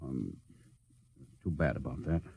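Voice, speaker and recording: An actor playing a stern, short-tempered rocket ship captain in 1950s radio drama style, with clipped, commanding speech. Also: male voice, extremely low pitch, lively intonation, unhurried, creaky, narrow, tinny audio, background noise, heavily acted. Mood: brisk command.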